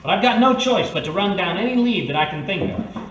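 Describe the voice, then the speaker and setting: A man's voice: high voice, lively intonation, a little fast, fairly bright tone. A man playing a wry, determined hard-boiled private eye in an old-time radio detective drama. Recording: distant echoey room with faint noise.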